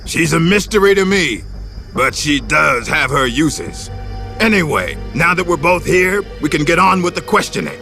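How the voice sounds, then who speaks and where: A man's voice: a little high, big pitch swings, normal speed, creaky, bright, forceful tone, faint music behind, full character voice. A man voicing a cruel, gloating officer in a video game, with a theatrical, sneering and menacing delivery. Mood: smug. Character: cruel gloating male intelligence officer interrogating a captive. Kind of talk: dramatic video game voice acting.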